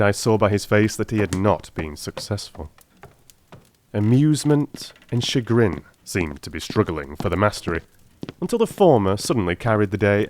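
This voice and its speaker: A man's voice: fairly low pitch, a little hiss or hum. A man narrating an audiobook in the first person, reading scripted prose in a dramatic style.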